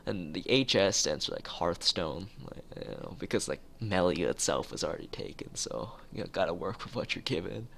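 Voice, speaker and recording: A man's voice: sits low, expressive, moderate pace, gravelly, few pauses, a bit bright, slight background noise. A man live-streaming a visual novel, reading it aloud and adding casual, somewhat rambling asides. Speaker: game streamer. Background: music with plucked guitar.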